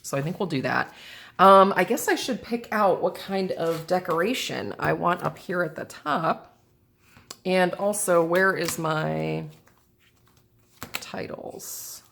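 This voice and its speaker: A woman's voice: on the low side, slow, vocal fry, fairly bright tone, slight background noise. Stuffy-voiced woman chatting over crafts. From American Midwest. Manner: thinking aloud, indecisive, chatty with viewers. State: stuffy, sinusy voice. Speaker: planner and journal crafter. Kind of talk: casual crafting chat. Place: indoors at a craft table. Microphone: camera over the table.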